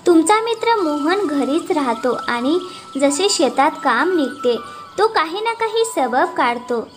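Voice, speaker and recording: Very high-voiced, shrewd cartoon village wife, acted by a woman. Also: sing-song, a little slow, over music. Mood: suspicious. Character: caring, shrewd village wife, wary for her husband. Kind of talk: cartoon moral-story dialogue.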